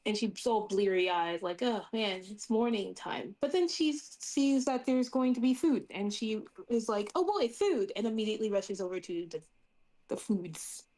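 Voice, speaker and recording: A woman's voice: mid-range voice, expressive, normal speed, a little mellow, poor audio. A woman playing a tabletop role-playing game, chatting and improvising casually in a playful way.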